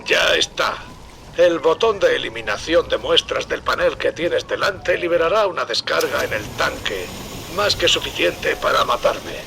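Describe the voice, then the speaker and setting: An actor playing a doomed, remorseful scientist in a video game, speaking with polite, formal resignation in a gravelly voice. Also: male voice, fairly high pitch, animated, normal speed, background noise. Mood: resigned. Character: doomed, remorseful male scientist pleading for his death. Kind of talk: video game dub acting, recorded message.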